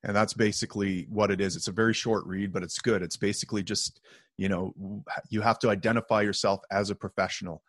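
A man's voice: fairly low pitch, fairly even intonation, quick pace, a bit bright, dry recording, clean studio sound. A man co-hosting a podcast, chatting casually in an informal, joking way with some salty language. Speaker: podcast co-host. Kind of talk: casual conversational podcast chat.

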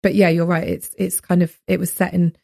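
A woman's voice: on the low side, little pitch movement, brisk, gravelly, warm tone. A woman, co-hosting a podcast and chatting casually about a book, laughing often. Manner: chatty, laughs often, quotes her own thoughts aloud. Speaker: podcast co-host. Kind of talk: casual book discussion chat.

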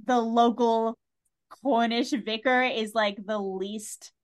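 A woman's voice: fairly high pitch, steady tone, takes it slowly. A woman on a podcast panel, chatting casually with a wry, joking manner.

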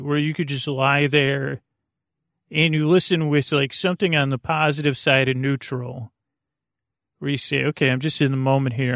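A middle-aged American man with a creaky voice hosts a bedtime podcast in a meandering, halting, soothing monologue, easily sidetracked. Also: medium pitch, a bit bright, dry recording, studio quality.

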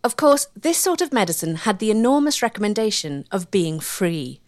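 A woman reading scripted documentary narration as a measured storyteller with a wry edge. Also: mid-range voice, big pitch swings, no room sound, studio quality.

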